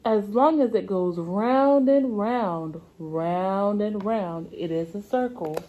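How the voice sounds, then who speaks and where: Woman, young adult, preschool read-aloud, unhurried, slightly husky, simple repetitive phrasing. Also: medium pitch, narrow, tinny audio. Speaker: preschool teacher. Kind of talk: children's read-aloud lesson. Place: indoor classroom.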